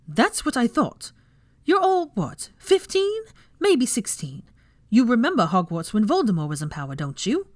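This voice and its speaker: A woman's voice: a little high, wildly swooping pitch, moderate pace, no echo, pitched above the performer's own voice. A woman voicing a furious young female professor, scolding a class sharply and indignantly in a storybook reading. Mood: snapping, scathing. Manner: sharp, indignant, scathing lecturing. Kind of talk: dramatic fan fiction character reading.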